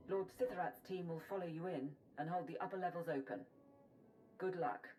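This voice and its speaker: An actress playing a composed military support officer in a video game, giving businesslike briefings. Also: female voice, lowish voice, a little fast, warm tone, soft background music, close to an everyday voice, low-quality recording.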